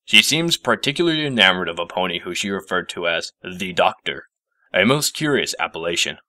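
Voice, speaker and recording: A man acts out a grave, self-important old professor from a storybook. The voice is deep and forceful, with gruff, formal phrasing. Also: big pitch swings, fairly quick, no echo, studio quality, heavily acted. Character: gruff, pompous, grave older male professor.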